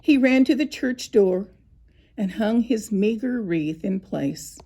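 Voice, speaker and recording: A woman narrating a scripted children's story, with expressive, deliberate phrasing and dramatic pauses. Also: medium pitch. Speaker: storybook reader.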